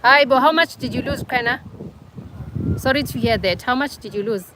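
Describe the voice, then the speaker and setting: A woman's voice: sits high, moderate pace, background noise. A young South African woman with a South African accent, hosting a casual livestream and chatting with viewers, teasing them by name. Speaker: livestream host. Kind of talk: casual live chat with viewers.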